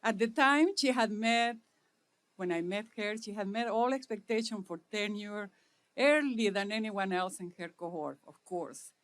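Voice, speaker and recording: A middle-aged woman from Chile with a Chilean Spanish accent, delivering a measured formal speech at an inauguration; Spanish is her first language. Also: medium pitch. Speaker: speaker at an inauguration.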